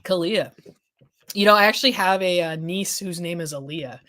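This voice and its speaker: A woman with a slightly low voice hosts a casual legal news livestream. She rambles and restarts her sentences while she reads viewer comments. Her voice is getting hoarse as the stream goes on. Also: normal speed, a bit bright. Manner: rambling, many restarts and fillers, thinking aloud. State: losing her voice. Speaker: legal affairs journalist hosting a livestream.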